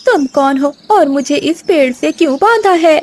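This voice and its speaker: A woman plays a very high-voiced mother crow from a children's cartoon. She is lazy and whiny, and pleads in a plaintive, dramatic manner. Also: animated, normal speed, a little mellow. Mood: pleading. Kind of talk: Hindi children's cartoon voice acting.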